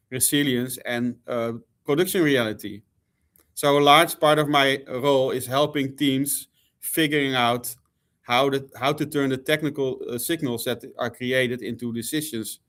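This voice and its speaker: A man's voice: mid-range voice, fairly bright tone. Dutch-accented man giving a hesitant talk. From Netherlands. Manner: hesitant, many fillers and restarts. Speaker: conference speaker. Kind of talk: presentation talk.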